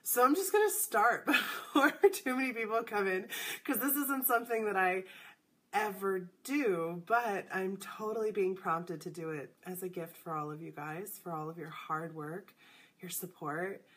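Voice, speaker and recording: A woman hosting a casual spiritual livestream, a little congested, talking in a soft, hesitant, slightly nervous way. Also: medium pitch, normal speed. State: congested, voice deeper than normal. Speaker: livestream host.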